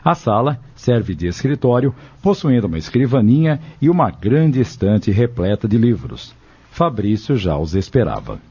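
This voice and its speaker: An actor as a sober narrator reading scripted narration for an audio novel. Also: male voice, mid-range voice, sing-song, moderate pace, mellow, slightly rough, highs cut off. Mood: neutral. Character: sober male storybook narrator.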